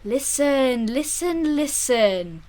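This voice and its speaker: A woman voicing an eccentric, excitable old madman in an audiobook story, eager and erratic, repeating words in short bursts. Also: sits high, drawn-out words, voice pushed up, full character voice. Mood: gleeful, insistent. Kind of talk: audiobook character voice.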